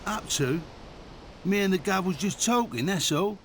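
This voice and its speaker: A man playing a rough but loyal reformed bandit sidekick in a video game, with a Cockney accent. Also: high voice, lively intonation, moderate pace, a little hiss or hum. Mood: sheepish. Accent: British, Cockney. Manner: rough, colloquial, boisterous. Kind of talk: video game character acting.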